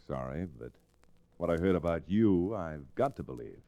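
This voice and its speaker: An actor playing a confident, educated American Western hero in an old-time radio drama, assured and plain-spoken. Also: male voice, low voice, lively intonation, moderate pace, dark tone, lo-fi sound. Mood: calm, bargaining. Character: confident, educated Western lawyer-rancher hero, shrewd and unflappable. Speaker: radio actor, lead and narrator. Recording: restored vintage radio recording.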